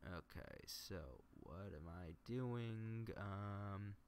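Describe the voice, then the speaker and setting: A man's voice: on the low side, extremely slow, darkish tone, slight background noise. A man livestreaming a casual, unscripted tutorial, thinking aloud and muttering to himself as he works. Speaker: livestreamer demonstrating video editing. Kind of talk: casual unscripted tutorial commentary while working.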